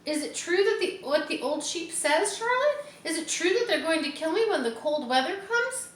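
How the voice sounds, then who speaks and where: A woman's voice: very high-pitched, animated, normal speed, reverberant, distant mic, pitched above the performer's own voice. A woman voicing an innocent, dramatic young male pig in a storybook, with a pleading, wailing manner. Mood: panicked, wailing.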